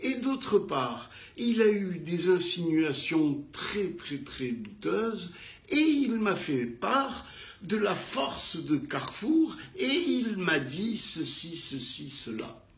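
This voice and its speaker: A man with a North African French accent telling a business anecdote in an animated way, acting out the dialogue with emphatic repetitions. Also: sits high, slow, echoey room. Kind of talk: animated storytelling.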